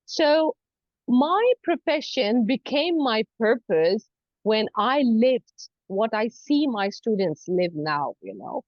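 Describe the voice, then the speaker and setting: Female lecturer as interview guest, non-native English, lively intonation, chatty and earnest, slightly dull dry recording. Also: on the high side, slow, no echo. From Saudi Arabia. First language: not English. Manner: frequent "you know", earnest explaining. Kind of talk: conversational interview answers.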